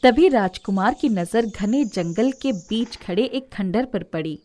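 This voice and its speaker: A woman's voice: medium pitch, expressive, unhurried, warm tone. A woman narrates a scripted fairy tale as a storyteller. Her delivery is that of a children's moral story, told in the third person.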